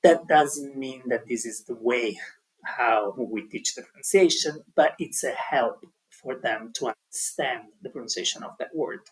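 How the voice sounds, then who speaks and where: Husky man giving a hesitant tutorial. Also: medium pitch, unhurried, poor audio. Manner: hesitant, many ums and restarts. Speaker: language teaching tutor. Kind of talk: explaining an online tutorial.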